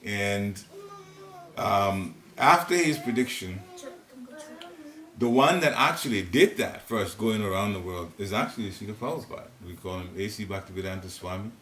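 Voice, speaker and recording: Low-voiced older Ghanaian man teaching. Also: animated, normal speed, a bit bright. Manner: rambling, chatty, chuckling asides. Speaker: devotional class leader. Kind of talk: informal spiritual teaching talk. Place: living room in a private home. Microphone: seated, room recorder at a distance. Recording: roomy home recording, faint hiss.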